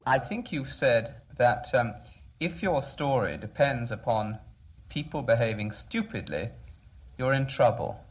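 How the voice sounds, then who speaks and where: A man's voice: medium pitch, lively intonation, unhurried. A man interviewing someone in conversation, phrasing his questions tentatively and in a roundabout way.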